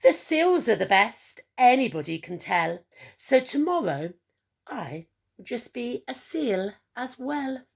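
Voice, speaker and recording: A woman's voice: a little high, big pitch swings, slow, gravelly, breathy edge, pitched above the performer's own voice, full character voice. A woman reading a children's picture book, voicing an eager, boastful young male emu in an exclamatory, theatrical way. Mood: gleeful, determined.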